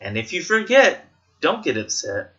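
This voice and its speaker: A man reading a rhyming children's picture book aloud with an expressive, sing-song rhythmic delivery. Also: a little high, moderate pace, muffled recording.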